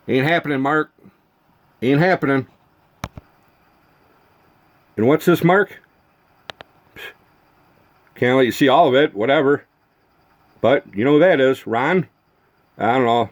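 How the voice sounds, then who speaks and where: A man's voice: mid-range voice, slow pace, long pauses. A man ranting accusingly at someone who is not there, exasperated, swearing and repeating himself in rhetorical questions. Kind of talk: accusatory rant to camera addressing an absent person.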